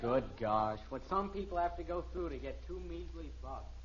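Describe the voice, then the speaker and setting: An actor playing an eager, naive American teenage son in a 1950s radio sitcom, pestering and earnest. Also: male voice, a little high, animated, normal speed, a little hiss or hum, close to an everyday voice. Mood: dejected, pleading. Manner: whiny, pestering, gee-whiz earnestness. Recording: dull old radio recording.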